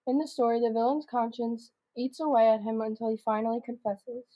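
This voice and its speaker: A woman's voice: fairly high pitch, steady tone, fairly quick, warm tone, lightly acted, low-quality recording. A young girl of about twelve playing a patient, instructive schoolteacher in a comedic radio drama. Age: child, about 12 to 13. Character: female schoolteacher, explaining patiently to her class.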